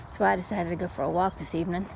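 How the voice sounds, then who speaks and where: A middle-aged woman chatting casually in an unhurried, folksy way, like a mom telling stories while out on a walk. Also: fairly low pitch, gravelly. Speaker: storytelling vlogger and mother. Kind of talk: casual rambling chat. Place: outdoors, walking. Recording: steady background noise, outdoors on a walk.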